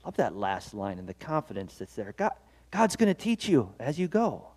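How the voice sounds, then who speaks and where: A man's voice: mid-range voice, big pitch swings, moderate pace, darkish tone, slightly rough. A man preaching a devotional sermon as pastor, earnest and conversational, with self-deprecating asides. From Norwegian-American heritage.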